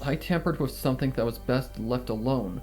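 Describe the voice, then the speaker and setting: A man's voice: medium pitch, a little mellow, natural voice. Man reading as frightened, guilt-ridden pony, music behind. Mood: guilty, haunted. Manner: shaky, halting, dramatic. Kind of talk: dramatic first-person horror fan fiction reading.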